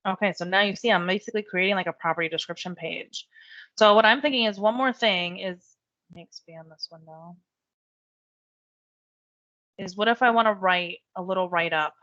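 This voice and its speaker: A woman giving a chatty step-by-step software tutorial while losing her voice. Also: mid-range voice, moderate pace, long pauses, no room sound, highs cut off. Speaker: real estate software trainer. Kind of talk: screen-share software tutorial.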